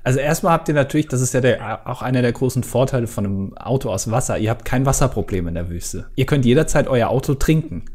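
Guy cohosting a podcast, medium voice, lots of ums, deadpan jokes. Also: medium pitch, quick pace, hardly any pauses. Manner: rambling, hesitant, many ums and self-interruptions, dry jokes. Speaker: podcast co-host. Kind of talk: casual podcast chat.

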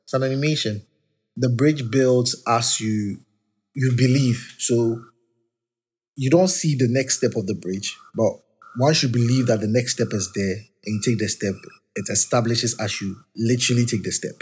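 A man's voice: normal speed, husky. A man hosting a podcast, casually reflecting on faith in a rambling, self-correcting way. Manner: talks of his high-pitched voice. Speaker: podcast host.

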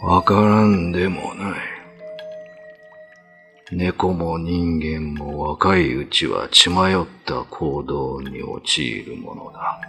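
A man voicing a stern, world-weary old male cat elder in a radio drama, speaking gravely in an old man's phrasing. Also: low-pitched, slow pace, fairly bright tone, soft background music, full character voice. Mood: grave, foreboding.

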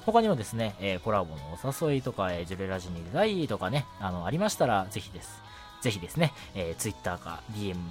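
Low-voiced young man, lively intonation. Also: fast talker. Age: young adult. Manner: polite, chatty, punctuates phrases with short affirmations. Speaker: radio show host, amateur voice actor. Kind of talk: casual solo radio talk with announcements. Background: background music.

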